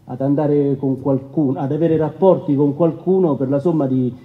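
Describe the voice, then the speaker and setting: An actor playing an evasive male defendant in a radio courtroom drama, testifying in halting, repetitive, colloquial phrases. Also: on the high side, steady tone, close to an everyday voice. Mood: hesitant. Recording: thin phone-like band-limited sound.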